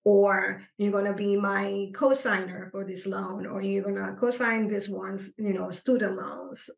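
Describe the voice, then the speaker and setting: Gravelly woman in an echoey room. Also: medium pitch, a little slow. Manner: earnest, explanatory, animated when stressing points. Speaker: psychotherapist guest. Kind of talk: conversational interview.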